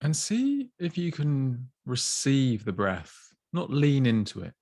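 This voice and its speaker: A man gently guiding a body scan meditation, slow and soothing with long pauses. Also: medium pitch, wildly swooping pitch, no room sound, studio quality. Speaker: mindfulness teacher.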